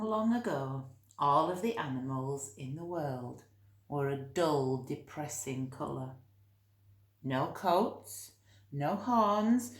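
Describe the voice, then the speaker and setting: A woman reading a children's story expressively, with dramatic emphasis and drawn-out key words. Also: deep voice, roomy sound.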